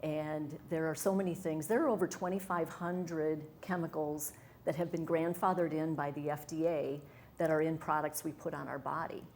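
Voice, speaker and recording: A woman's voice: sits low, steady tone, normal speed, a little mellow, a little hiss or hum. A middle-aged woman from Wisconsin, a wellness coach talking earnestly and enthusiastically as an interview guest. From Wisconsin, USA. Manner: earnest, enthusiastic, with fillers and restarts. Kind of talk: conversational interview.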